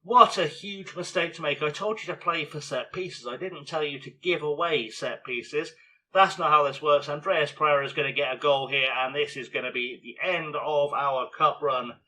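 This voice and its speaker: A man with a scratchy, hoarse voice giving chatty, self-mocking play-by-play commentary on a football management game. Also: a little high, moderate pace, bright, forceful tone. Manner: chatty, self-mocking, narrates match action. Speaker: video game streamer.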